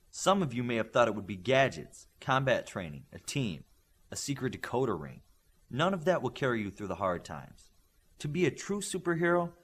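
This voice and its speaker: A man voicing a stern, demanding superhero coach in an audiobook, speaking with blunt, commanding authority to young trainees. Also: medium pitch. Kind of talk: audiobook character dialogue.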